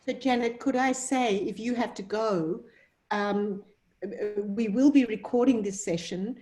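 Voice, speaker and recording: A woman's voice: on the high side, a little slow, mellow. A woman organiser on a video call, practical and reassuring.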